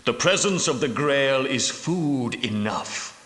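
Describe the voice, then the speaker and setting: An actor playing the solemn, pious leader of ancient Grail knights in a video game, speaking in a grave, portentous, formal manner. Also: male voice, medium pitch, lively intonation, a bit bright. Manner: grave, portentous, measured, preaching. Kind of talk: scripted video game dialogue, archaic formal speech. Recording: echoey hall with long reverb.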